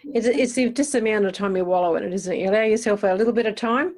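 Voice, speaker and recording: A woman's voice: medium pitch, fast talker, darkish tone. A woman interviewer chatting casually and encouragingly, agreeing with her guest and building on the points made.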